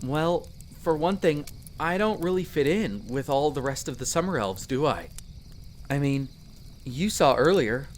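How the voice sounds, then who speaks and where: Man playing a modest young male elf, noisy ambience. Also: fairly high pitch. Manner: conversational, self-deprecating, earnest. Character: friendly young male elf explorer, modest half-outsider mage. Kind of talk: roleplay audio drama, speaking to the listener.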